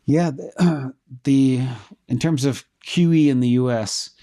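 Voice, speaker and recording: A man's voice: mid-range voice, slow, warm tone. A middle-aged man who is losing his voice hosts a casual livestream, answering viewer questions and thinking aloud with fillers while he checks data.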